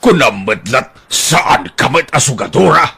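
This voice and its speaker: A man's voice: mid-range voice, sing-song, unhurried, creaky, bright, forceful tone, slightly rough, full character voice. An actor playing a teasing older husband who gambles, bantering with his wife in an audio drama.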